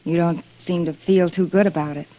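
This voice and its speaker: An actress playing a distraught, guilt-ridden young woman in an old radio drama, speaking in a halting, anguished way. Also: on the low side, steady tone, dark tone, dull audio, close to an everyday voice. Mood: numb, resigned. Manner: halting, anguished, self-accusing. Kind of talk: 1940s-50s radio drama acting.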